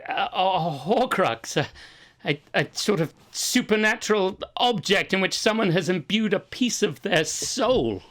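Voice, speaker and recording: A woman's voice: animated, unhurried, natural voice. A woman playing a gullible, flustered old man of eighty-four in improvised comedy, stammering over a video call. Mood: curious. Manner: flustered, stammering, rising to anxious pitch.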